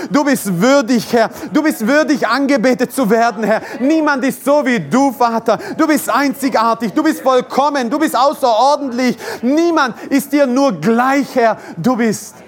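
Casting: male preacher, high voice, fervent with joking asides. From Croatia. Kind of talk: sermon and prayer.

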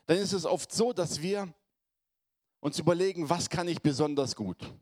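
A man preaching to his congregation, hoarse from a cold, speaking in a conversational way and often checking in with his listeners. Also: a little high, normal speed, a little mellow. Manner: conversational, addresses congregation with tag questions. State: has a cold, voice affected. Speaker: pastor. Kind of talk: sermon.

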